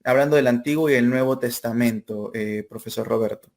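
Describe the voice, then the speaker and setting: Male host, Peruvian accent, medium pitch. Polite, informal, feels his way into questions with repeated phrases. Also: a little fast, vocal fry, darkish tone. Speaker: interview host. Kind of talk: casual live interview hosting.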